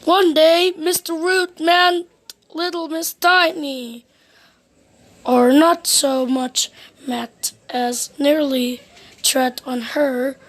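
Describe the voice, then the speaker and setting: A woman reading a children's storybook aloud as narrator, in an expressive, deliberate way. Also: high-pitched, low-quality recording.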